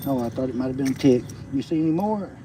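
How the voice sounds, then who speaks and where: A man in his fifties with a Southern US accent, rambling in a folksy, halting way during a casual outdoor livestream. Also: mid-range voice, lively intonation, mellow. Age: 50s. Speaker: homesteading livestream host, logger. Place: outdoors on a rural roadside. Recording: outdoor noise with birds. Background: birds and rural outdoor ambience.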